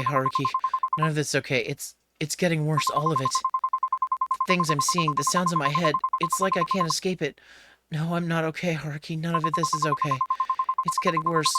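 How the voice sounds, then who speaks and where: A man's voice: on the high side, steady tone, a little fast, very noisy, pitched above the performer's own voice, lightly acted. A man voicing a distraught, guilt-ridden character in a horror story, pleading and stammering in repeated phrases. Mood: panicked distress. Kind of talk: dramatic horror story acting.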